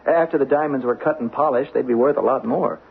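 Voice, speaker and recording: Husky, trusting regular guy. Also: medium pitch, brisk. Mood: earnest. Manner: casual, earnest, puzzled. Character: trusting, loyal young American male employee. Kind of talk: old-time radio drama acting. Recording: muffled old radio recording.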